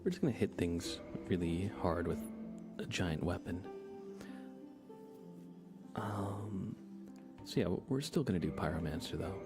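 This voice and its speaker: A man doing a soft-spoken ASMR live stream, chatting to viewers in a low-key way and now and then slipping into whispers. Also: measured pace, long pauses. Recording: loud game music behind the voice. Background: video game music.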